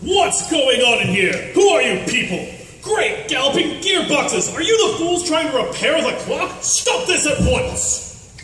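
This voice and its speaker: A man's voice: very high voice, big pitch swings, unhurried, sharp tone, full character voice. A man playing a blustering, self-important town official in a comic radio drama, spluttering pompously. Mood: outraged. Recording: echoey hall stage, distant, clearly noisy.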